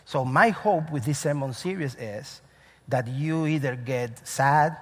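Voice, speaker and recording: A man's voice: medium pitch, breathy edge, big-room sound. A man from Cuba with a Cuban Spanish accent, preaching a sermon as the pastor of his church in an earnest, emphatic way, often restarting his phrases. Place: church sanctuary.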